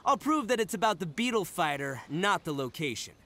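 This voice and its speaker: A man's voice: high voice, very animated, a little fast, vocal fry, pushed and bright, full character voice. A man voicing a brash, boastful, big-hearted young oni gang boss in a video game, cocky and slangy. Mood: generous, boastful.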